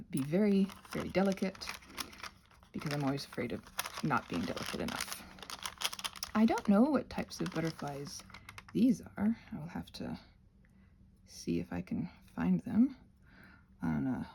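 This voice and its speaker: A woman's voice: fairly low pitch, vocal fry, warm tone, slight background noise. A woman casually hosting a craft demonstration, talking in a hesitant, meandering way with self-deprecating asides. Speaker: craft channel host.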